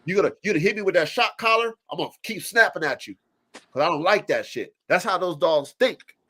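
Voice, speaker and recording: Stuffed up dude on a live podcast, kinda high voice, swears a lot, acts stuff out. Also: male voice, fairly quick. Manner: animated, profane, acts out dogs and owners in quoted voices. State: congested from allergies. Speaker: podcast host. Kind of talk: casual chat. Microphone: live stream mic.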